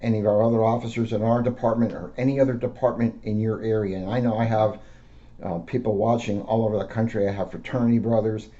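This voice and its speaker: A man, a police officer, reading aloud to children in simple, deliberate phrases. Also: fairly low pitch, little pitch movement, mellow. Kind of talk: read-aloud storytelling with casual asides. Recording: dull lo-fi sound.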